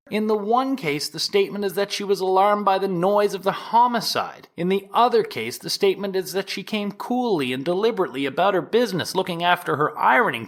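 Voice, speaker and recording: An actor playing a solemn, insistent 1890s prosecuting attorney, delivering a formal, deliberate opening statement to a jury in an audio drama. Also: male voice, on the high side, fairly bright tone. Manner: formal, deliberate oratory with emphatic rises. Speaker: actor in an audio theatre production.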